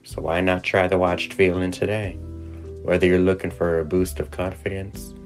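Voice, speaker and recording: A man acts out a smooth salesman in a mock radio commercial. His voice sits very low and he sells in a measured, reassuring way, with light music behind him. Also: flat delivery, close to an everyday voice. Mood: soothing sales pitch. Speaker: advertisement announcer. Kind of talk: scripted infomercial voice-over.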